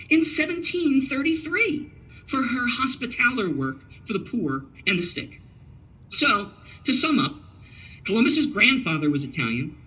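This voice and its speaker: A man delivers a scripted history lecture in a high, bright voice with a touch of huskiness. He talks emphatically, dropping in Italian words to drive his points home. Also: breathy edge, rough recording.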